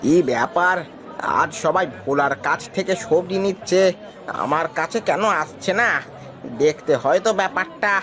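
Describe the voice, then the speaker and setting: High-pitched, boastful village farmer played by a man. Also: moderate pace, a bit bright, some chatter behind, close to an everyday voice. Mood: suspicious. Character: boastful village farmer Ganesh, competitive and taunting. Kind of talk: Bengali animated moral tale dialogue.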